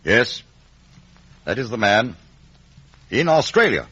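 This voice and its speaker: A man's voice: mid-range voice, sing-song, slow, bright, forceful tone, slightly rough, full character voice. An actor playing a stern, guarded, brusque male lawyer in a 1950s radio drama. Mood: guarded, clipped. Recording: muffled, dull vintage radio recording.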